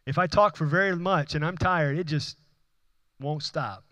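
A man preaching from the pulpit, his voice bright and tense and pitched a little high. He drives his points home with repeated phrases that swell in force. The sound is dry and close, with little room around it. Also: moderate pace, dry recording, poor audio. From Houston, Texas. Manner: impassioned, repetitive preaching with rising emphatic runs. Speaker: pastor. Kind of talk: sermon. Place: church.

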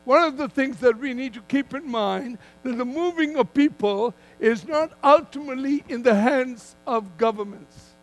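An older man preaching a sermon as a guest speaker, in emphatic, deliberate phrases that he repeats for weight. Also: extremely high pitch, soft background music, rough recording. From Malaysia.

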